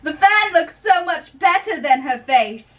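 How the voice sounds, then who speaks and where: A young woman giving a theatrical, deliberate dramatic reading of play dialogue. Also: extremely high pitch, animated, a bit bright, dull audio. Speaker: amateur reader of a play. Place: small bedroom, indoors. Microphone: camera a few metres away. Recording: distant camera in a bedroom.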